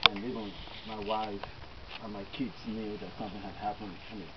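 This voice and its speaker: A man's voice: fairly low pitch, level tone, fairly quick. A middle-aged man with a Nigerian accent, a physician giving an earnest eulogy with many fillers, recorded outdoors by a far camera. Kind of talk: personal eulogy to an outdoor gathering. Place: outdoors under a tent on a grass field. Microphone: far camera, no mic. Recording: dull lo-fi camera audio with loud outdoor noise.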